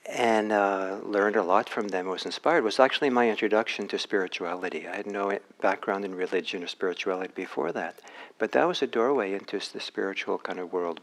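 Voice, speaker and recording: Low, unhurried older man giving a talk. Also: a bit bright. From Norway. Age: 60s. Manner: unhurried, many pauses and restarts. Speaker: dharma teacher. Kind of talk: dharma talk.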